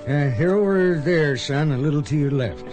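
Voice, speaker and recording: An actor playing a gentle, plain-spoken widowed farmer father in a radio western, a man with a folksy, hesitant way of talking. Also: a little high, moderate pace, music in the background, lightly acted. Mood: directing, kindly. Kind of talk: radio western drama acting.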